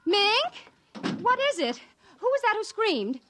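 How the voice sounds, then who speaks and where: An actress playing a brisk suburban mother in a mid-century radio drama, motherly and crisp, by turns indulgent and firm. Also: very high-pitched, very animated, noisy background, full character voice. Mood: stern, worried.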